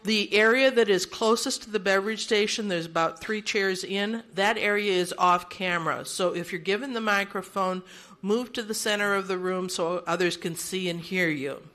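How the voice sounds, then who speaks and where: A woman's voice: mid-range voice, normal speed, a bit bright. An older woman who chairs and emcees a seniors' meeting in a large room. She speaks into a handheld microphone, giving orderly announcements with light humor and leading a prayer. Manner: orderly and practical, with light self-deprecating humor.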